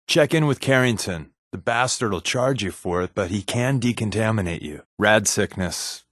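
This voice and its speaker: An actor playing a wisecracking undercover agent and loyal sidekick in a video game, with an American accent and a breezy, sarcastic way of talking. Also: male voice, mid-range voice, no room sound, studio quality. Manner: sarcastic, breezy, casual quips. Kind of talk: game companion voice lines.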